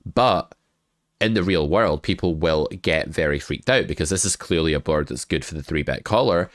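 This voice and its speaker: Congested, very deep-voiced man. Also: lively intonation, moderate pace, no room sound, clean studio sound. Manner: chatty, joking, swears casually. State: congested with a cold. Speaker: poker coach. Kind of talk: casual poker hand review. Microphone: webcam desk setup.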